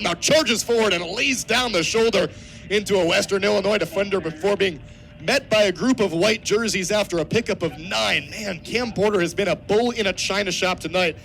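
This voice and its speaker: Young American male sports announcer, high voice, energetic football radio call, noisy outdoor stadium behind. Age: 20s. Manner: energetic, vivid, builds to excited shouts on big plays. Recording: outdoor stadium ambience, clear background noise. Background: stadium noise.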